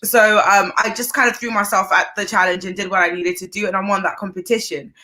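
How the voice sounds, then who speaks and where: A young woman chef from Zimbabwe, chatting casually as an interview guest, warm and quick to laugh. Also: mid-range voice, steady tone. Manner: chatty, laughs easily, uses fillers.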